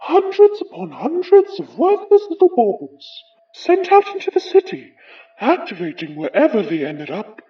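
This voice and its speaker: Bitter aristocratic British spectre, horror podcast. High register, very animated pitch, deliberate formal diction. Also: female voice, recorded from a distance, highs cut off. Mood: proud, intense. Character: haughty, bitter aristocratic ghost of an Edwardian occultist. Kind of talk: horror audio drama acting.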